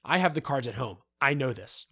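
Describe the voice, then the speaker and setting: A man voicing an animated film director who recalls events with emphatic, dramatic pauses, as in an interview. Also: medium pitch, breathy edge, full character voice. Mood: animated, rueful. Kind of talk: acted reading of interview quotes.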